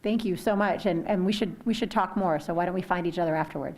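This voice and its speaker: A woman speaking casually and warmly as a panelist at a community discussion, with some fillers. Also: fairly low pitch, quick pace, slight background noise. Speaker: panelist from the new office. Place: community meeting hall.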